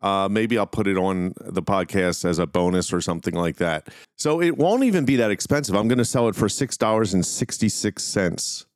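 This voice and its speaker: A man's voice: on the low side, normal speed, no echo, clean studio sound. A man hosting a solo podcast, talking casually and informally in a meandering way. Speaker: podcast host.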